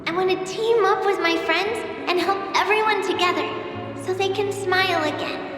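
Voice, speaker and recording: An earnest, starry-eyed little girl speaks in a very high, unhurried voice drenched in reverb. She sounds bashful and hopeful, like a game character reading out a heartfelt letter, with music clearly behind her. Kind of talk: video game voice acting, reading a letter aloud.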